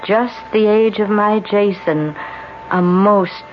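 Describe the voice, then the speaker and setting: A woman's voice: mid-range voice, close to an everyday voice. A woman playing the possessive, old-fashioned ghost of a sea captain's wife in a radio drama, speaking formally and ominously. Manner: formal, archaic, slow and ominous speech. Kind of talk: 1970s radio drama acting. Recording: muffled vintage radio recording. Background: music.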